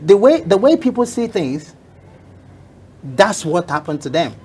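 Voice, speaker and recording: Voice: medium pitch, sing-song, unhurried, mellow, husky. A talk show guest telling an emphatic story, often repeating phrases for effect. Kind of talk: motivational talk show conversation.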